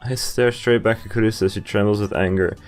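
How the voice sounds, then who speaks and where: Low-voiced young man over game music. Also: fairly even intonation, brisk. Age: young adult. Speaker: video game player and commentator. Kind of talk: playthrough commentary, reading game text aloud.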